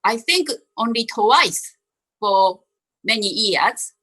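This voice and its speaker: A woman introduces herself on a discussion panel. She speaks slowly and haltingly and often restarts her sentences. Her voice is fairly high and bright. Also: expressive, slightly rough. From Japan. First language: Japanese.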